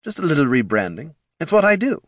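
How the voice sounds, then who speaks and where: An eager undead skull with the chirpy patter of a marketing executive, acted out by a man. The voice sits high and leaps around animatedly. It is earnest and upbeat, a character from a fantasy audiobook. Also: sing-song, quick pace, no echo, studio quality. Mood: brisk, persuasive.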